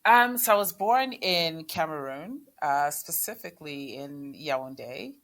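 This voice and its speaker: A woman's voice: sits low, animated, a little slow, a bit bright. A woman from Kenya answering interview questions, speaking hesitantly with frequent ums and restarts. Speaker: guest, health organization chief of staff. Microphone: remote call.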